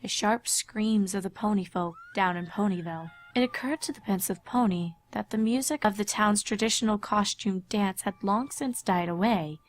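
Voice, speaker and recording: A woman reading scripted dramatic story narration in a measured, expressive way. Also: medium pitch.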